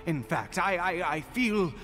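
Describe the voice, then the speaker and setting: An actor playing an earnest, troubled father in a video game, speaking in a halting, expressive, awestruck way. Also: high-pitched, faint music behind. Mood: dazed wonder. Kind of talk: scripted video game voice acting.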